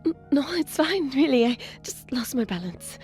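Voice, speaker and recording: A woman playing a gentle, earnest and hesitant young lady in a romantic audio drama. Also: high-pitched, big pitch swings, normal speed, soft background music. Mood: flustered. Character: sweet, shy, earnest young woman, a seamstress.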